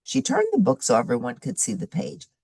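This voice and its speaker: A woman reads a children's story aloud with big swings in pitch. Her voice sits very low, with a husky edge, and she tells it in an animated, expressive way. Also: a little fast, no room sound. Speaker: story reader. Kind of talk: expressive read-aloud of a children's chapter book.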